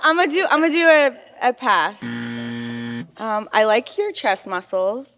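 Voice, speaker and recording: A woman's voice: mid-range voice, wildly swooping pitch, takes it slowly, fairly bright tone, slight background noise. Playful young woman, native Persian speaker.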